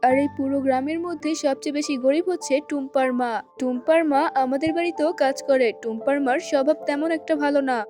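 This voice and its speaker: Woman playing a snobbish fast-talking cartoon mother. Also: high voice, fairly even intonation, close to an everyday voice. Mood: contemptuous. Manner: haughty, scornful, nagging. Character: snobbish, scolding mother of a young girl. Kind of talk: children's cartoon acting. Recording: music behind the voice.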